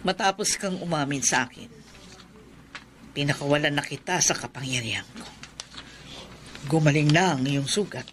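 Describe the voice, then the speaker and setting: An actor playing a vengeful widow sorceress in a radio horror drama, making slow, deliberate, menacing threats. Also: mid-range voice, fairly bright tone, slight background noise, close to an everyday voice. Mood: cold.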